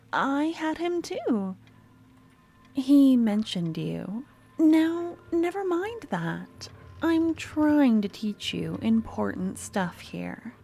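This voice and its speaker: A woman playing an eager, clingy young student with a secret obsession in an ASMR roleplay, speaking softly and often slipping into breathy whispers. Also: fairly high pitch, full character voice. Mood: sweet, slightly flustered. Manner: soft-spoken, often dropping to breathy whispers. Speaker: lab guide teaching embalming.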